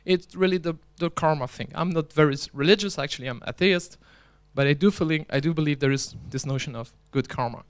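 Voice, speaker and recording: Clear-voiced man giving a joking conference talk. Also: on the high side, fairly quick, hardly any pauses. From Belgium. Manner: chatty, joking, restarts often. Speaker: conference speaker and organizer. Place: conference hall.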